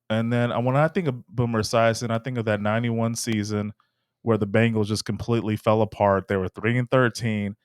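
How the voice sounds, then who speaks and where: A man's voice: mid-range voice, clear, resonant tone, no room sound, clean studio sound. A man co-hosting a casual sports podcast, recapping facts in a plain manner. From Dallas. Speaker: podcast co-host.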